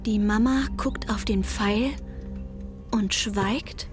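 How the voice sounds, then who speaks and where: An actress playing a sharp-witted teenage daughter who narrates a radio play with dry, sardonic asides. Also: female voice, mid-range voice, takes it slowly, lightly acted. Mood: hushed, watchful. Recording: music behind the voice.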